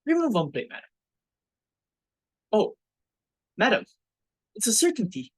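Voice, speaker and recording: A woman's voice: mid-range voice, wildly swooping pitch, a little slow. A woman playing a formal, deferential household servant in an amateur reading of a stage comedy.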